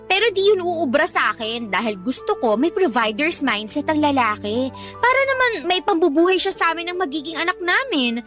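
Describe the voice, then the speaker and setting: A woman's voice: high-pitched, very animated, a little fast, a bit bright, soft background music. A Filipino woman acting a brazen, demanding young mistress in a radio drama, whiny and defiant. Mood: defensive.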